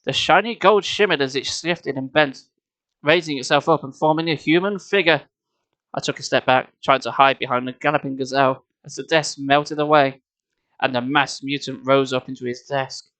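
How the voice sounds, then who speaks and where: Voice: mid-range voice, normal speed, a bit bright. A storyteller reading a children's book expressively, slipping in casual, wry and mocking asides. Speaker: storyteller, live streamer.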